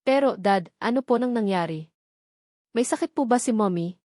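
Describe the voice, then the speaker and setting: A woman's voice: a little high, expressive, normal speed, warm tone, dry recording, studio quality, lightly acted. A woman voicing an anxious, devoted Filipino daughter and sweetheart in a romance audio story.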